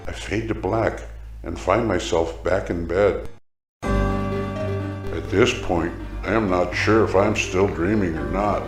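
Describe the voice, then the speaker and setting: A man narrating a first-person dramatic story in a reflective, measured way, reading from a script. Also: low-pitched, huge pitch swings. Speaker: storyteller narrating his own audio play. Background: music.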